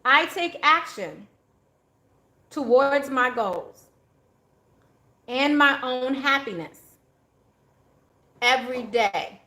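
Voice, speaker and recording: A woman's voice: high voice, lively intonation, drawn-out words, pauses often, bright, forceful tone, roomy sound. A woman giving a casual, chatty tarot reading to her viewers, laughing often as she goes. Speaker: tarot reader.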